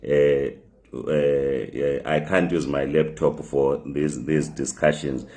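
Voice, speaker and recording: A man with a hoarse voice from the flu, hosting a live talk show from his phone, speaking haltingly with many fillers and repeated words. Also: a bass voice, fairly even intonation, darkish tone. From migrant living in South Africa.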